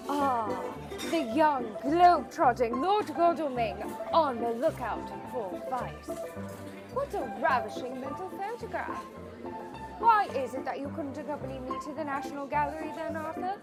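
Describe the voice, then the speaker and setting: High, teasing society belle acted by a woman. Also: lively intonation, moderate pace. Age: young adult. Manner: theatrical, teasing. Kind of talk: scripted radio drama acting. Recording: loud music behind the voice.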